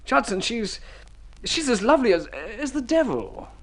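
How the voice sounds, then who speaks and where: An actor playing a handsome, lovestruck, inarticulate adventurer, eager and pleading, in old radio drama style. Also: male voice, very high voice, very animated, moderate pace, exaggerated voice. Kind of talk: mid-century radio drama acting. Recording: vintage radio recording, faint noise.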